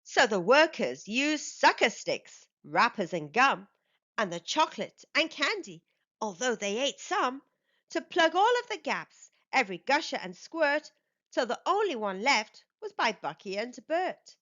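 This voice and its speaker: A woman tells a rhyming children's tale in a high, very lively voice. She is expressive and takes her time over each line. The recording sounds slightly dull and lo-fi. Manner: expressive, deliberate. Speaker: storyteller reading aloud for children. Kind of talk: rhyming picture book narration.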